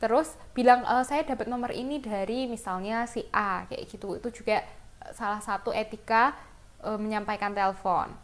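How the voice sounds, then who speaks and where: Higher-pitched Indonesian woman teaching English. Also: normal speed. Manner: informal, conversational, addresses students directly. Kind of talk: lesson explanation, reading example phrases and translating them.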